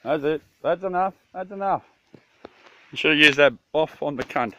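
A man's voice: a little high, moderate pace, bright, forceful tone, no echo, lo-fi sound. An Australian man giving a casual, slangy, joking camcorder-style tour outdoors, swearing freely as he goes. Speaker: camper filming a campsite tour. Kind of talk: casual handheld video tour. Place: outdoors at a bush campsite. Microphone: handheld phone or camera. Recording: outdoor handheld recording with clear background noise.

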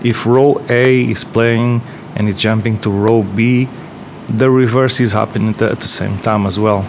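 Man with portuguese accent, low-ish voice, relaxed rambling demo, faint hum behind. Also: normal speed. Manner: relaxed, rambling, many fillers and restarts. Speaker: electronics maker. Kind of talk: casual workbench demo. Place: workbench, indoors.

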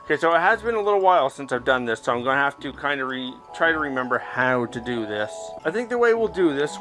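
A middle-aged man talks through a video game as he plays it. His voice sits a little high for a man, and his delivery is casual and explanatory, poking fun at his own skill. Faint game music plays behind him. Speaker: gaming video host. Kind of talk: casual gameplay commentary. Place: indoors, recording while playing VR game.

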